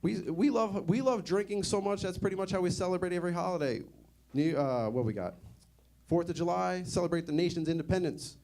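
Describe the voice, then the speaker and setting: A man's voice: high voice, animated, a little fast, creaky, darkish tone. A young man doing a stand-up comedy set in a conversational, sardonic way, often asking the audience questions. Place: comedy club stage.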